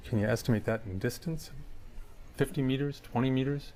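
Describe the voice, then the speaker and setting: A man's voice: medium pitch, a little fast, darkish tone, natural voice. A man playing an opposing lawyer, putting formal, methodical questions to a witness in a matter-of-fact way. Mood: businesslike. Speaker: examining lawyer in a dramatized discovery. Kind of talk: formal legal questioning, dramatized.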